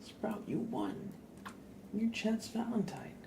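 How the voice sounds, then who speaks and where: A man hosting an ASMR livestream in a breathy whisper and soft murmur, gentle, repetitive and unhurried. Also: sits high, big pitch swings.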